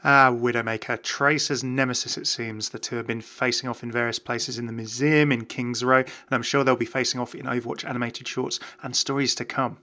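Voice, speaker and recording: A man narrating game lore from a script as a video host. His voice is mid-pitched and bright, with a gravelly creak. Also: fairly even intonation, a little fast, hardly any pauses.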